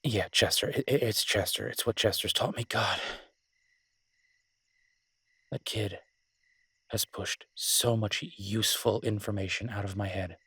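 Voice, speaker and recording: Male actor as smitten werewolf man, low husky voice, tender, teasing, rambling, self-deprecating, intimate romance audio roleplay. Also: fairly even intonation, moderate pace, bright, forceful tone, dry recording, exaggerated voice. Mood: playful, self-deprecating.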